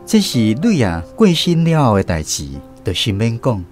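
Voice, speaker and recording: A Taiwanese man tells a story in the first person as a radio drama narrator. His pitch moves in a lively way at a middling height, over faint music. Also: moderate pace.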